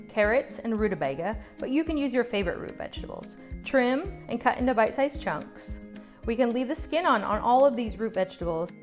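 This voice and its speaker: A woman giving calm, scripted step-by-step cooking instructions as a voice-over. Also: on the high side. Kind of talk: scripted recipe narration. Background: soft background music.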